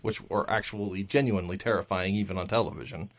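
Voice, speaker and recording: A man's voice: low voice, fast. A man chatting on a panel podcast, giving blunt trailer verdicts with rambling restarts. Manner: animated, rambling with restarts and laughs. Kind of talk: casual chat reviewing trailers.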